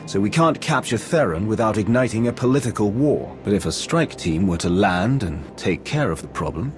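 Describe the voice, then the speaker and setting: An actor playing a courteous, calculating alien aristocrat and military envoy in a video game, speaking formally and diplomatically. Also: male voice, on the low side, animated, normal speed, lightly acted. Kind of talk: cinematic video game dialogue. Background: music.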